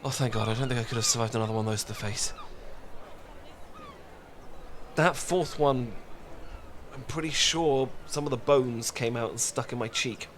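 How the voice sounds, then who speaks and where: A man's voice: medium pitch, a little fast, a bit bright, slight background noise, voice pushed down, natural voice. A man with a British accent playing a flustered, lovestruck best friend in a romantic comedy audio roleplay, stammering and earnest. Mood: tender, relieved. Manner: stammering, rambling, earnest confession.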